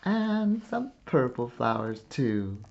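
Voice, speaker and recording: A man reading a children's picture book aloud like a teacher, with deliberate, expressive phrasing and pauses. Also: medium pitch, creaky, highs cut off. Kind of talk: slow children's storybook read-aloud.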